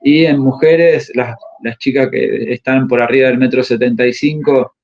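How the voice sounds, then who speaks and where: A man explains coaching in an interview, often halting to search for the right word. His voice sits at an ordinary middle pitch. The recording is a bit dull and muffled. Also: fairly even intonation. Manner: halting, pauses often to find words. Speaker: tennis coach. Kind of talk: interview answers. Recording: slightly muffled audio.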